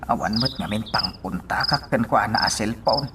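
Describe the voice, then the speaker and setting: A man's voice: fairly low pitch, normal speed, very noisy, close to an everyday voice. A man playing a weary older father who broods anxiously over his daughter in a radio drama.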